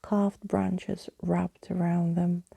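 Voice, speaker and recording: A woman reading a bedtime story in ASMR style, soft and hushed, often slipping into whispers, close to the microphone. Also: lowish voice, little pitch movement, slow, vocal fry, slightly rough, no echo. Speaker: storyteller reading a book to listeners.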